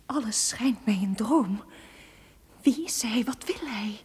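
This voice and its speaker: An actress playing a bewildered, frightened captive noblewoman in a gothic radio drama, breathy and plaintive. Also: fairly high pitch, expressive, slow pace, darkish tone, heavily acted. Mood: fearful, bewildered. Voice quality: breathy, whispery. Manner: anxious, plaintive. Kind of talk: old radio play acting.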